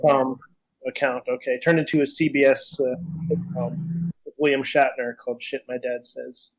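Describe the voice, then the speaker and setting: A man's voice: medium pitch, little pitch movement, normal speed, poor audio. A man co-hosting a podcast in casual chat, wandering and hesitant, with a self-deprecating manner. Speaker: podcast co-host.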